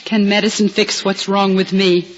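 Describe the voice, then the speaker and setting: Woman acting as a fragile young heroine, American, 1950s radio drama, medium pitch, dramatic, dull vintage recording. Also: flat delivery, moderate pace, close to an everyday voice. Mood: despairing. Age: young adult. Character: young blinded woman, wounded, pensive, fragile. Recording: muffled old radio transcription.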